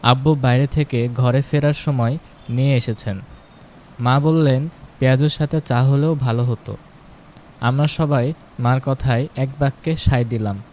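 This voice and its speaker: Male narrator for home recipe storytelling, mid-pitched, recorded with clear background noise and ambient rumble. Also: fairly even intonation, measured pace, long pauses, a little mellow. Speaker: home cooking channel narrator. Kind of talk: scripted recipe storytelling voice-over.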